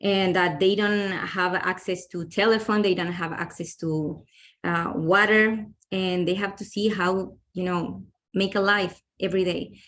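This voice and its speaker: A woman's voice: lowish voice, steady tone, unhurried, a bit bright. Woman presenting books, chatty. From Honduras. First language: Spanish. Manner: enthusiastic, chatty, many fillers. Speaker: book presenter. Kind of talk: webinar book presentation.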